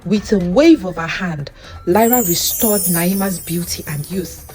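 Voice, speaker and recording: A woman narrating a scripted folktale in an expressive, theatrical way. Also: fairly low pitch, measured pace. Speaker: narrator, storyteller. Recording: music under the voice. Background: background music.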